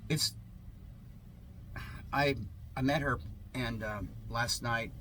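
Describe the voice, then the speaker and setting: A man speaking as an online host in a halting, emphatic, theatrical way, in the manner of someone sharing and reading satire aloud. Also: medium pitch, pushed and bright. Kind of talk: rambling talk and dramatic sarcastic reading. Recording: noticeable background noise.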